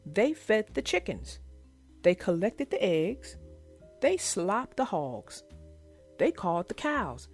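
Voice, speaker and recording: A woman's voice: mid-range voice, very animated, takes it slowly, pauses often, soft background music. A woman narrating a children's read-aloud story, addressing young listeners directly as host.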